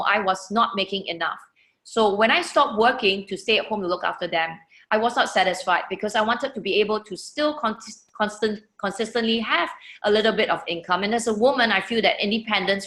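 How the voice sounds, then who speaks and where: A woman's voice: medium pitch, a bit bright, echoey room. A woman from Singapore, hosting an online webinar in an encouraging manner with frequent "you know" fillers, speaking over a video call. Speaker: webinar host. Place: holiday lodging, echoey room.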